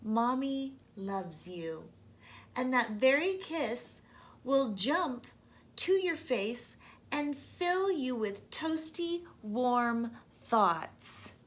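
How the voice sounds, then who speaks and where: A woman voicing a loving, reassuring mother raccoon in a children's storybook, speaking gently and soothingly. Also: high-pitched, animated, very slow, exaggerated voice. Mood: tender. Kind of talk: children's storybook read-aloud.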